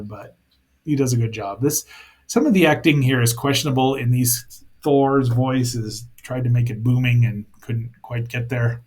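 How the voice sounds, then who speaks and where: A man's voice: mid-range voice, a little mellow. A man hosting a casual review show, rambling and thinking aloud with playful asides as he comments on a cartoon.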